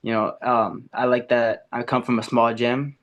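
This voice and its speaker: A man's voice: mid-range voice, level tone, moderate pace, highs cut off. Eager young man rambling in casual chat. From America, Ecuadorian and Puerto Rican family. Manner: eager, rambling, many fillers and restarts. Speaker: amateur MMA fighter, guest. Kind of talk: casual podcast interview.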